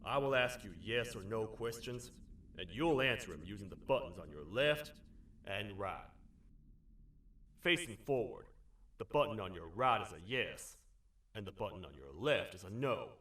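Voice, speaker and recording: A man's voice: fairly low pitch, lively intonation, normal speed, pushed and bright, recorded from a distance. An actor playing a stern, bossy lawman monster in a video game, with a commanding, clipped way of questioning. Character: gruff bossy cartoon lawman monster, stern but loyal to queen. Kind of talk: video game character dialogue.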